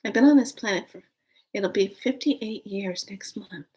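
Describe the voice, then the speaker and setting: A woman's voice: mid-range voice, moderate pace, a little mellow, slightly rough. A woman in her late fifties chatting casually to viewers on a live stream, rambling through a story. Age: 50s. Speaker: vlogger.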